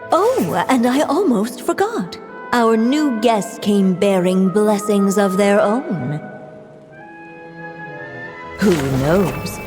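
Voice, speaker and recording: A woman narrating a fairy-tale story in a video game as a gentle witch, soft and slow. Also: mid-range voice. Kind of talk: scripted narration. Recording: long reverb with music.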